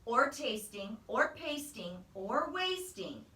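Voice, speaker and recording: A woman's voice: on the high side, very animated, takes it slowly, pushed and bright, heavily acted. A woman voicing a firm but kindly storybook mother cat who scolds her kittens. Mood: indulgent warning. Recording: roomy, distant microphone.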